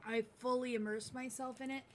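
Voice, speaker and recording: A woman hosting a live stream, chatting casually in a relaxed, rambling way. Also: sits high, fairly quick, noisy background. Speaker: streamer host.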